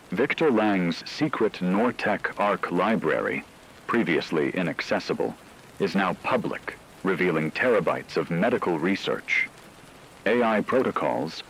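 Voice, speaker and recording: A very deep acted male voice of a grave news anchor in an apocalyptic radio drama. His formal, solemn delivery rises and falls expressively, over a faint rain-like noise. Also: fairly bright tone, exaggerated voice, low-quality recording. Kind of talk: scripted dramatic news broadcast narration.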